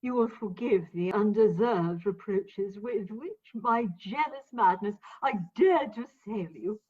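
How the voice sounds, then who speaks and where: A woman's voice: medium pitch, unhurried, breathy edge. An actress playing a jealous, grandly wronged tragic woman in a comic radio play, declaiming theatrically over a video-call recording. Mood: haughty reproach. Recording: tinny, band-limited video-call recording.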